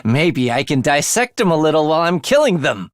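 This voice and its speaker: A man's voice: fairly high pitch, sing-song, brisk, no room sound, studio quality. A man voicing an eerie, bloodthirsty young male student in a video game, with a sinister, theatrical manner that savors morbid details. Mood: aroused menace. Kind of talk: video game character voice acting.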